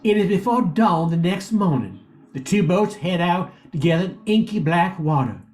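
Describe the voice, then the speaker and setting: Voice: medium pitch, lively intonation, normal speed, darkish tone. An older amateur narrator reading a scripted radio play, occasionally stumbling and repeating words.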